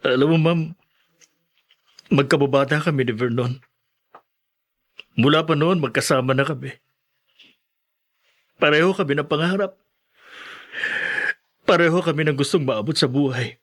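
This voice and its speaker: A man's voice: fairly high pitch, moderate pace, long pauses, close to an everyday voice. A man playing an anxious, devoted young student in a radio drama, with a stammering, emotional delivery. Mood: grieving, reflective. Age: young adult. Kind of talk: Filipino podcast radio drama acting.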